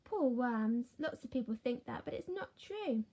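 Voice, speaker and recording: A woman's voice: a little high, lively intonation, a little slow, warm tone, slightly rough, exaggerated voice. A woman voicing a kind, knowledgeable grandmother in a storybook, explaining things patiently. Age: older.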